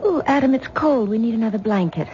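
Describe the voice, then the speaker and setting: An actress playing an anxious young wife who pleads urgently, heard in a dull 1970s radio drama broadcast. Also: female voice, fairly high pitch, lively intonation, fast talker, dark tone, slight background noise, natural voice. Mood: frightened.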